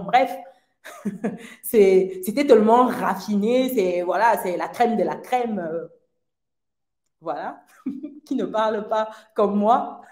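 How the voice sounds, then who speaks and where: A woman giving an earnest personal testimony in casual talk, often laughing lightly between phrases and filling pauses. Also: medium pitch, darkish tone. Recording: roomy echo.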